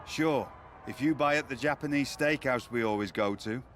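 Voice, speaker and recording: A man's voice: mid-range voice, moderate pace, a bit bright, slight background noise, close to an everyday voice. A man playing a dapper wrestling promoter with articulate, theatrical delivery and dry wit in video game cutscenes. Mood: dry, teasing.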